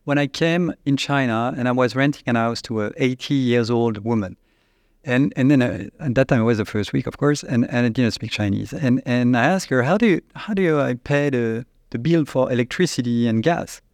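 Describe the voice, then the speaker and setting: A man with a French accent, a company CEO, answering interview questions in a halting, searching way with frequent restarts. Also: mid-range voice, a little mellow, dry recording, studio quality. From France. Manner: halting, restarts often, searches for English words.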